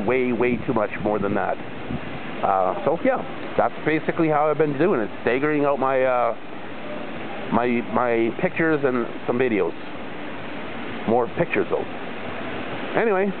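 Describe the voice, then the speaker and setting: Middle-aged man, travel vlogger, rambling poolside chat, phone-line thin sound, steady outdoor noise behind. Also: medium pitch. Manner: rambling, repeats himself, many fillers. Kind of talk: casual rambling vlog monologue. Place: outdoors by a resort pool. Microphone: phone camera held low at arm's length.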